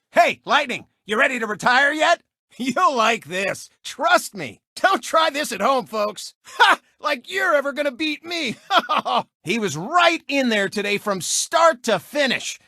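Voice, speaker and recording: A man's voice: very high-pitched, pushed and bright, dry recording, studio quality, heavily acted. A man voicing a cocky, gloating cartoon race car who hosts a sports show in a video game, brash and theatrical. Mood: gloating, taunting. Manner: brash, smug, theatrical showman. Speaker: racer and TV racing commentator. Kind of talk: animated video game sports commentary and taunts.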